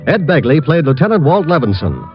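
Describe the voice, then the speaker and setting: A male radio announcer delivers formal vintage credits in a fairly high, animated voice. The old broadcast recording is dull, with faint music behind him. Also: brisk.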